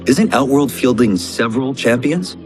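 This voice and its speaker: A man's voice: mid-range voice, animated, moderate pace, lightly acted. An actor playing an earnest, brave young farm boy turned fighter in a video game, speaking formally and with determination. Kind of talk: video game cutscene acting. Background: loud music.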